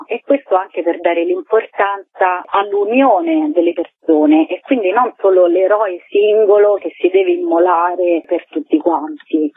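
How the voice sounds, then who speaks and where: A woman's voice: lowish voice, dry recording. Woman on a phone line, dry thin sound, explaining stuff as an interview guest. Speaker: theatre artist, interview guest. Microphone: telephone. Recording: tinny phone line on radio.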